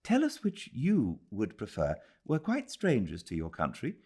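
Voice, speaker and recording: A man's voice: mid-range voice, wildly swooping pitch, measured pace, warm tone, husky, voice pushed up, heavily acted. A man voicing an eager, naive young Englishwoman in an audiobook, gushing and polite, with a British accent.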